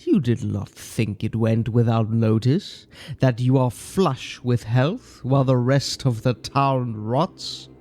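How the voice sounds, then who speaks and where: A man playing a stern, accusing friar in a medieval horror story, speaking formally and deliberately. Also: medium pitch, darkish tone, dry recording. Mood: coldly accusing. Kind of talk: dramatic audio horror story.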